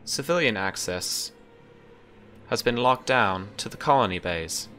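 Man voicing a low, impersonal ship's computer. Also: lively intonation, pushed and bright, soft background music. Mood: impersonal, withholding. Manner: measured, formal, halting delivery. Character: ship's computer Mother, calm, impersonal, unhelpful. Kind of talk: tabletop game role-play.

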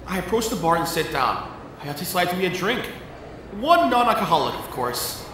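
A man's voice: high-pitched, sing-song, normal speed, sharp tone, a little hiss or hum, heavily acted, low-quality recording. A man playing a cheeky, good-natured young professor in an audio drama, playful and bantering. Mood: narrating. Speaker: voice actor. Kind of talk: audio drama acting with first-person narration.

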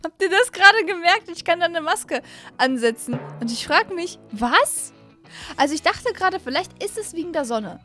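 A young woman hosting a gaming video, giving casual, animated and excitable commentary into a close desk microphone. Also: very high-pitched, normal speed. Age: young adult. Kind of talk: casual let's-play commentary. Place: small indoor streaming room. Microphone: close desk microphone on an arm. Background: faint music.

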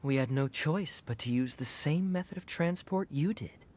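A man playing a suave, sly young knight in an anime video game, smooth and wry, with playful irony. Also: a little high, moderate pace, darkish tone, natural voice. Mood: wry, amused. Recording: music playing behind the voice.

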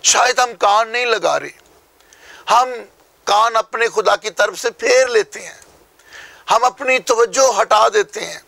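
A middle-aged Pakistani man preaches in a fairly high voice with a gravelly edge. He is emphatic and repeats his phrases in sermon fashion. The sound is dry and close, from a lapel mic in a studio. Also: measured pace, bright, forceful tone, no echo. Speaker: pastor. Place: small TV studio.

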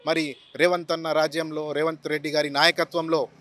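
A man with a Telangana Telugu accent makes a formal political statement to a reporter. His tone is forceful and sharp, slightly raised in pitch, running through long lists. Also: little pitch movement, fairly quick. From Telangana, India. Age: 40s. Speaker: lawyer and politician seeking candidacy. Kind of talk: news interview statement. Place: outdoors on a city roadside. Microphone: handheld reporter's microphone.

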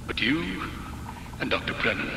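An actor playing a coldly fanatical cultist doctor in a sci-fi horror game, speaking with measured, courteous, pompous menace. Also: male voice, medium pitch, big pitch swings, vocal fry, background noise. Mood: courteous menace, zealous. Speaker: villain scientist. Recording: echoey hall reverb, loud game music.

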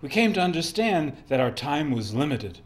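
A man playing an earnest young resistance courier, giving a deliberate first-person account in a dramatic reading. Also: fairly high pitch, big pitch swings. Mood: grave, earnest.

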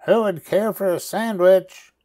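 A man's voice: sits high, measured pace, mellow, voice pushed up. A man voicing a small, bossy female witch in a storybook, with a cackling, commanding manner. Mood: calling out.

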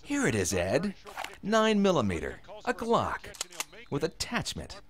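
An actor playing a menacing robber in a radio drama, taunting and sarcastic with a false friendliness. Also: male voice, fairly high pitch, huge pitch swings, measured pace. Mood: mocking menace. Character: menacing, mockingly friendly male robber posing as FBI agent.

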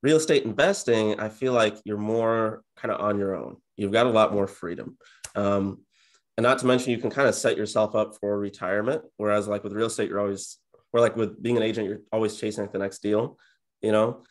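Young male guest, 20s, deep-leaning voice, unexcitable and introverted, relaxed conversational interview delivery from a home office. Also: fairly quick. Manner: low-key, introverted, self-described monotone. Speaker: interview guest, real estate agent and YouTuber. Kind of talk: casual interview chat. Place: indoor home office, on a video call.

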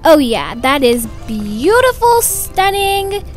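A woman's voice: high-pitched, big pitch swings, takes it slowly, faint music behind, heavily acted. A woman voicing a perky, self-satisfied kindergarten teacher who chats and instructs in a roleplay story. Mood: pleased, chatty. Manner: chatty, self-admiring, instructing. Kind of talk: animated doll-game roleplay voice acting.

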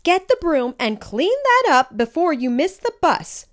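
A woman's voice: sits high, very animated, unhurried, slight background noise, pitched above the performer's own voice. A harried mother in a children's story, acted by a woman reader. She scolds in a raised, swooping voice, urgent and exasperated. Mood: scolding. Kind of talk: children's storybook read-aloud.

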